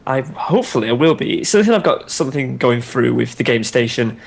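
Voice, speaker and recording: Man, medium pitch, relaxed, easygoing, podcast guest. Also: fairly quick, creaky, husky. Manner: relaxed, easygoing, hesitant fillers. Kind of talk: casual chat.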